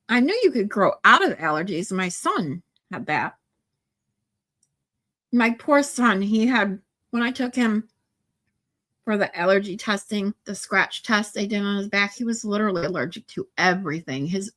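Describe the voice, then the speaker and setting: A woman's voice: mid-range voice, a bit bright. A woman in her forties with a stuffy nose, chatting casually with viewers on a livestream, rambling with many ums and restarts. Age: 40s. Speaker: livestream host.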